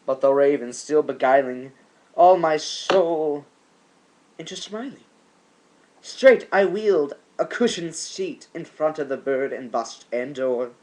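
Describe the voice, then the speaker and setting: Slow, theatrical man reading poetry. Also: on the high side, lively intonation, darkish tone, rough recording. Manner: theatrical, halting phrases with swelling emphasis. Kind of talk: amateur dramatic poetry reading.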